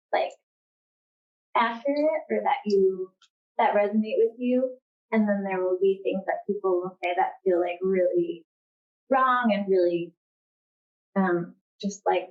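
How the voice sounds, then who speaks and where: Casting: American woman writer, informal and hesitant, heavy on "like", slightly muffled lo-fi event recording. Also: mid-range voice. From California, United States. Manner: informal, hesitant, frequent "like" as filler. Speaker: novelist, guest author. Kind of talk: casual unscripted answers at a literary event.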